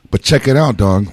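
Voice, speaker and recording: A tipsy man co-hosting a podcast, joking in a rowdy, crude, boisterous way. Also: medium pitch. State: tipsy from shots. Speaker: podcast co-host.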